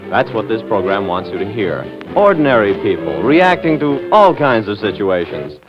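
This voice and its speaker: A man's voice: fairly low pitch, very animated, normal speed, music in the background. An American man hosting and narrating a scripted 1940s radio program. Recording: dull old 1940s radio recording.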